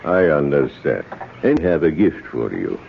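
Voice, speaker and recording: An actor playing a grieving native man in a radio drama, outwardly polite and secretly vengeful, speaking in slow, deliberate, formal phrases. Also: rumbling low, very animated, gravelly, full character voice. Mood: solemn, persuasive. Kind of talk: 1970s radio drama acting. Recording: noisy, dull old radio broadcast.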